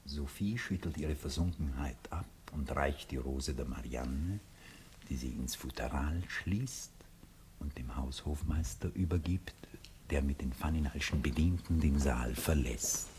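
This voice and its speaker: A man's voice: extremely low pitch, a little slow, a little mellow. A man reading scripted stage directions as the narrator of a radio play. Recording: old recording with clear hiss.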